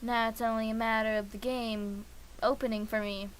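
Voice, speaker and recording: A woman's voice: on the high side, little pitch movement, moderate pace, a little hiss or hum. A young woman streaming a game, chatting casually to herself and humming filler sounds while she waits.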